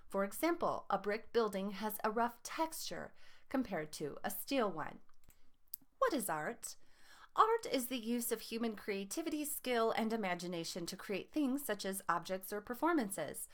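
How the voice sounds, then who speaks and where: A woman teacher reads scripted educational material aloud, setting out terms and examples in a deliberate, enunciated way. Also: mid-range voice, sing-song. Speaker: teacher reading lesson aloud.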